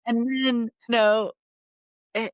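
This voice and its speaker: A woman's voice: a little high, little pitch movement, very slow, clear, resonant tone, dry recording. A middle-aged American woman hosting a casual interview in a chatty, reflective, informal way. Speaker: podcast host, interviewer.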